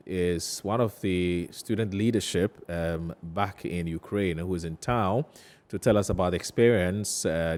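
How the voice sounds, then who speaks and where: Deep, mellow Ghanaian male TV host. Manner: hesitant phrasing, repeats questions back. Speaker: TV talk show host. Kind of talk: live studio interview. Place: TV studio.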